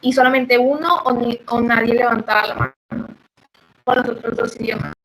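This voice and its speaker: Hesitant woman, slightly high. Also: slight background noise, rough recording. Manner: hesitant, many fillers, laughs softly. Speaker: guest. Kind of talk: casual chat.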